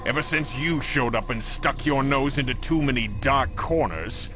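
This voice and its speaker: Villain role: male actor as condescending Templar grandmaster, theatrical, self-assured, medium pitch, music clearly behind. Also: moderate pace, lightly acted. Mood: scornful, smug. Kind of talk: scripted video game cutscene acting.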